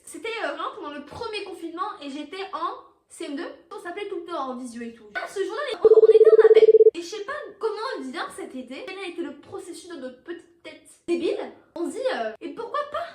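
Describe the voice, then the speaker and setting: A teenage girl telling personal anecdotes in an expressive, dramatic, self-mocking casual style, acting out moments as she goes. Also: very high-pitched, roomy sound, far from the mic. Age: teenager, about 15. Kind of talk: animated casual storytelling to camera.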